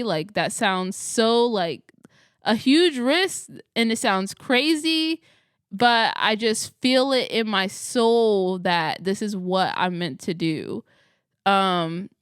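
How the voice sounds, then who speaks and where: A woman in her late twenties talks straight to her viewers in a loose, rambling way, often stopping to correct herself. Her voice is smooth and clear at a middle pitch. The sound is close and dry. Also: dry recording. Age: 20s. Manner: rambling, chatty, self-correcting with frequent laughs. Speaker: astrology vlogger. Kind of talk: casual chat to camera.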